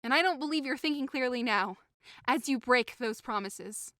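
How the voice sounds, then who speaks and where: A woman's voice: high voice, expressive, moderate pace, sharp tone, dry recording, studio quality. A woman playing a hurt, indignant young woman in a modern-English Shakespeare audio drama, impassioned, accusing and sarcastic. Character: hurt, indignant young woman convinced she is being mocked.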